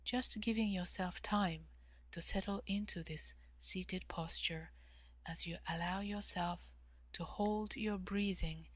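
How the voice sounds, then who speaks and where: A woman leading a guided meditation in a calm, measured way, with long pauses and soft, breathy phrase endings. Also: fairly low pitch, dry recording. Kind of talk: guided meditation, slow instruction.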